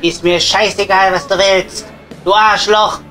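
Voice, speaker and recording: A man's voice: high voice, flat delivery, a little slow, bright, forceful tone, faint music behind. A man playing a grandiose, bitter, foul-mouthed showman guest in an improvised comedy audio play. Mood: gruff, abusive.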